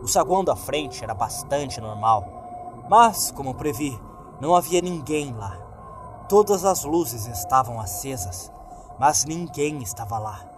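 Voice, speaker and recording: A man's voice: mid-range voice, animated, unhurried. A man with a Brazilian accent reading a scripted horror story as a dramatic narrator. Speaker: creepypasta narrator. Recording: clear music bed behind voice. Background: music.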